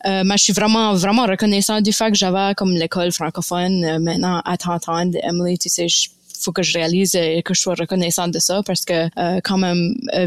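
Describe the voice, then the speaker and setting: A woman's voice: fairly low pitch, no echo, studio quality. A young woman with an Acadian French accent, talking reflectively as a podcast guest, with many fillers and restarts. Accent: Acadian French of Baie Sainte-Marie. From Acadian Nova Scotia. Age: young adult. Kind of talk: casual conversation.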